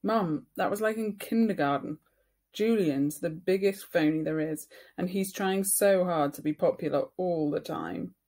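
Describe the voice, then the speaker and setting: A woman's voice: fairly low pitch, unhurried, warm tone. A woman voicing an exasperated, argumentative boy of about ten in a storybook, speaking emphatically and defensively.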